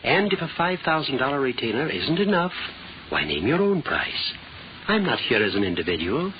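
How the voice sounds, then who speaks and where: Lively male voice, actor playing fussy insurance representative, formal, exasperated, vintage radio drama, faint hiss. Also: medium pitch, normal speed, lightly acted. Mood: formal, insistent. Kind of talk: old-time radio drama acting. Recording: muffled old radio recording with hiss.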